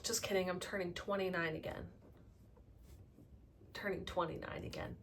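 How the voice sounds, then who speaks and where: A woman in her late twenties chatting casually about her crafting projects in a relaxed monthly update, with the odd self-deprecating joke. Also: lowish voice, moderate pace, far from the mic, a little hiss or hum. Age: late 20s. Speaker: crafting vlogger.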